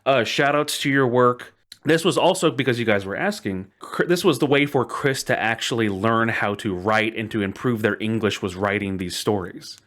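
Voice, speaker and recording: A man with a medium-pitched voice hosts a casual podcast. He tells stories conversationally and with enthusiasm. He stresses points by repeating words. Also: normal speed, a bit bright. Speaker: podcast host. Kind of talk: casual storytelling podcast chat.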